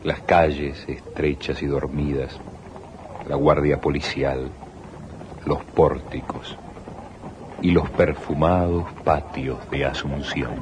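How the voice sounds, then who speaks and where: A man's voice: very deep voice, steady tone, slow pace, background noise. A man narrating a scripted radio drama in a solemn, literary way. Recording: old radio broadcast, loud music behind. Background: loud plucked guitar music.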